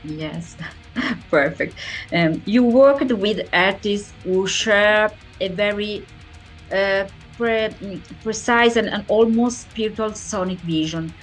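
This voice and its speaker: A woman interviewer who is not a native English speaker, asking questions haltingly as she searches for words. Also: medium pitch, soft background music, rough recording.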